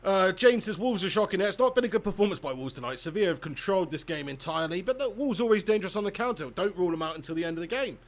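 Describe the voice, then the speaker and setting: Young lad doing a live football watch-along, high voice, jokey, reads chat, laughs a lot. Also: male voice, animated, rapid-fire, few pauses. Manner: chatty, laughs often, banters with viewers. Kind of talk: casual live commentary reading chat.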